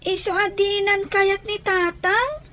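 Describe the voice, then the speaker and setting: A woman's voice: very high voice, slow pace, bright, forceful tone, dry recording, heavily acted. A woman playing a small, innocent, wistful child in a radio drama, plaintive and questioning.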